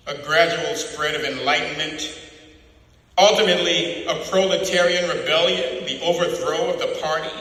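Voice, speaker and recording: A man acting a cold, commanding party inquisitor in a dramatic reading, lecturing with calm, deliberate certainty. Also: fairly high pitch. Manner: slow, deliberate, lecturing, declarative. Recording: very echoey, long hall reverb.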